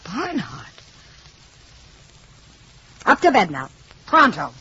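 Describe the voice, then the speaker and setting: On a dull old radio recording with faint hiss, an affectionate young mother. Her gentle, courteous speech has an animated lilt. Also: medium pitch, slow. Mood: puzzled, firm. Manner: gentle, polite, softly affectionate. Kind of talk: 1950s radio drama acting.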